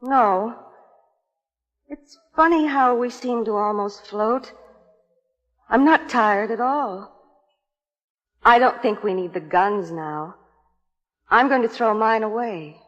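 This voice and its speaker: A woman's voice: fairly high pitch, takes it slowly, pauses often, muffled recording. An actress playing an anxious, exhausted young woman, narrating her nightmare dramatically in 1950s suspense radio style. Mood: wondering, uneasy. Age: young adult. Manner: dramatic, dreamy first-person narration. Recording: 1950s radio broadcast, long reverb, music behind.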